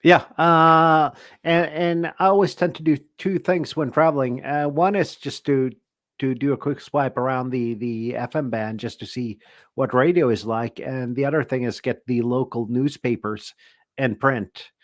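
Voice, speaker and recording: A man's voice: mid-range voice, fairly even intonation, unhurried, dry recording, clean studio sound. A middle-aged man from Norway with a Norwegian accent, hosting a casual ham radio live chat and often restarting his phrases. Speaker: ham radio show host.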